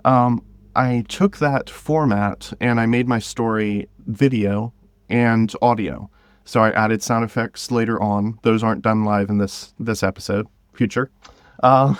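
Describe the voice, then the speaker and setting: A man with a lowish voice in a dry, close recording. He is an interview guest who explains things in an informal, conversational way, often pausing to gather his thoughts. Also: no room sound. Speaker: podcast guest, drama creator.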